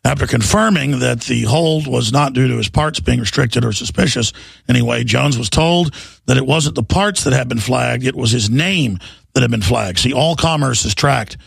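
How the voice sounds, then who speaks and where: Male talk radio host, middle-aged, hoarse and gravelly, relaxed and rambling, dry studio mic. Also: medium pitch, moderate pace, no echo. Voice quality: gravelly, raspy. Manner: relaxed, rambling, repeats words. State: hoarse after pneumonia. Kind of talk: talk radio monologue with ad reads. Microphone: studio microphone.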